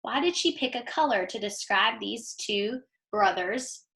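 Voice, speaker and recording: A woman giving an expressive, teacherly picture-book read-aloud and putting questions to young listeners. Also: fairly high pitch, measured pace, creaky. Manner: animated, teacherly. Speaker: teacher reading aloud to children.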